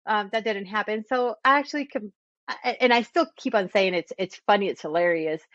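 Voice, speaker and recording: A woman's voice: medium pitch, dry recording, dull audio. A woman in her thirties from Texas and Puerto Rico, hosting a podcast and telling stories about her life in a chatty way, laughing at herself. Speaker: podcast host, realtor. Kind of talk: casual storytelling monologue.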